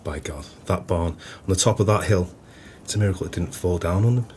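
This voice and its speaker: An actor playing a grumbling, florid-tongued pub landlord in an audio play, with a theatrical manner. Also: male voice, sits low, fairly quick, darkish tone, slight background noise, natural voice.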